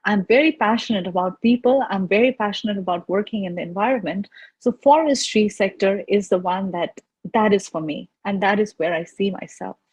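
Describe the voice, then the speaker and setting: Female, 20s, Nepali accent, mid pitch, slightly husky; earnest youth speaker presenting on a video call. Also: brisk, darkish tone. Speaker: youth representative and panel speaker. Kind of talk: personal presentation to an online audience.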